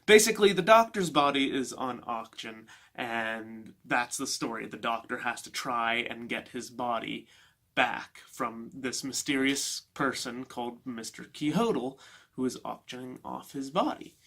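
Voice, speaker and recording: A casual, halting male book vlogger. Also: medium pitch, gravelly, pushed and bright. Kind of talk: casual unscripted review chat.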